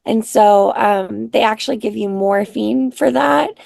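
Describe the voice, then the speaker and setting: A raspy-voiced woman, a singer-songwriter guest, talking in an unhurried, soft-spoken way with many pauses. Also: medium pitch, dry recording, studio quality. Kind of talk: casual interview chat.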